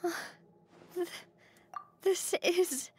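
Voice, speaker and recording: Young woman boss, high voice, unhurried, earnest, heartfelt, game dialogue. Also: dark tone, no echo, heavily acted. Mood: moved, grateful. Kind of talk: video game voice acting.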